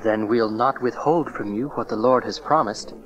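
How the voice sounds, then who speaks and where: A man's voice: medium pitch, normal speed, fairly bright tone, muffled recording, natural voice. An actor playing a cautious, protective young carpenter and father in a dramatized audio Bible, guarded and earnest. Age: young adult. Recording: crowd murmur on cassette.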